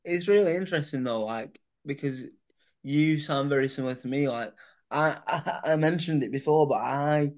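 A man's voice: fairly high pitch, normal speed, darkish tone. A man hosting a casual podcast chat, rambling and filling his speech with "sort of" and "like". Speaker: podcast host.

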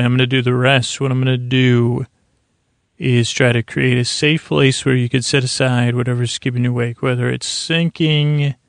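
A middle-aged man with a creaky voice hosts a sleep podcast, giving a gentle, soothing bedtime monologue that meanders, halts and interrupts itself. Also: mid-range voice, no room sound, clean studio sound.

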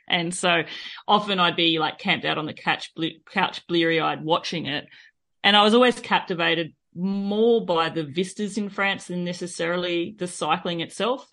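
A woman's voice: low-pitched, vocal fry, a bit bright. An Australian woman, a podcast guest, telling her story in a chatty, reflective way with frequent fillers. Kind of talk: interview, personal storytelling.

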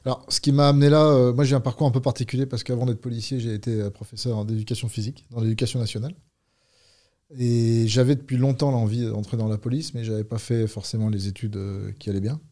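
Man in his fifties, gravelly and dark. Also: mid-range voice, steady tone. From Paris, France. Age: 50s. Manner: measured, hesitant with fillers. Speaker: police unit leader, guest. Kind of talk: conversational interview.

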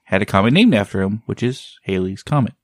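A man talks in a low voice, loose and meandering. He often stalls to search for the right word. The sound is dry, with no room echo. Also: animated, brisk. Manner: rambling, often searching for words. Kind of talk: casual chat.

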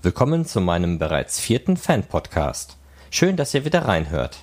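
A man's voice: low-pitched, very animated, normal speed. A man presenting and reporting as the host of a fan podcast. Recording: noisy, ambient background sound.

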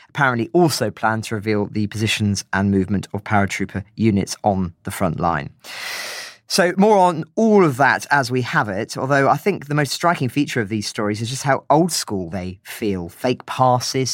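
British man, podcast news presenter, measured and articulate, mid-pitched voice, dry close recording. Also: a bit bright, no echo. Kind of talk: scripted news summary with brief commentary.

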